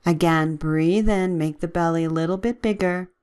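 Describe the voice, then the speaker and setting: A woman leading a guided relaxation with gentle, soothing, measured instructions and long pauses. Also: fairly low pitch, clean tone.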